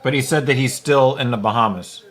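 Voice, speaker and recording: A man's voice: mid-range voice, fairly quick, fairly bright tone. A young man co-hosting a podcast, teasing his friends with crude, dry humor in casual chat. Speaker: podcast co-host. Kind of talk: casual banter.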